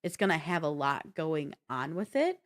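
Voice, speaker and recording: A woman's voice: deep voice, big pitch swings, normal speed. A woman co-hosting a video game podcast, chatting casually and explaining things in an informal way. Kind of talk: casual gaming talk show chat.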